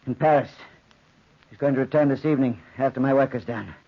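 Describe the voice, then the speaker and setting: A man's voice: mid-range voice, quick pace, lightly acted. An actor playing a deranged, agitated old man in 1940s radio drama style, repeating phrases insistently. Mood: feverish, secretive. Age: older. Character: frantic, deranged old man bent on killing a phantom. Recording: dull lo-fi 1940s radio recording.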